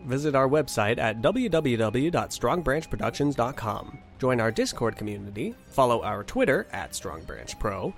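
A man's voice: on the high side, creaky. A man announcing a comedy trailer in scripted promo narration. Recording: music behind the voice.

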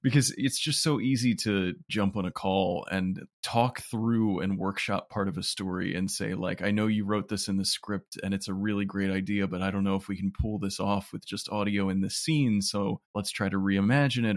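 A man's voice: low-pitched, fairly even intonation, normal speed, hardly any pauses, no echo, clean studio sound. A man talking casually and conversationally as a podcast producer being interviewed. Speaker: podcast producer and sound designer.

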